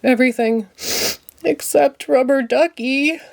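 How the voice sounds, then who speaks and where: A woman's voice: high-pitched, a little slow, vocal fry, a little mellow, pitched above the performer's own voice. A woman voicing an earnest, plaintive, childlike male puppet from a children's storybook. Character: earnest, childlike male puppet who loves his bath toy.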